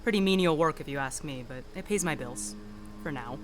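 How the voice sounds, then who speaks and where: A woman's voice: low voice, fairly quick, a little hiss or hum, close to an everyday voice. Woman acting composed, independent female private eye. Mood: casual, wry. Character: polite, independent-minded female private detective. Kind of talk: audio drama acting. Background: music.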